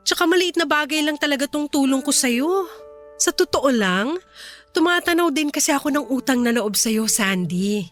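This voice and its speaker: A woman's voice: sits high, expressive, normal speed, a bit bright, soft background music. A woman acting a kind, reassuring company boss in a Filipino radio drama, earnest and composed, with a Filipino accent.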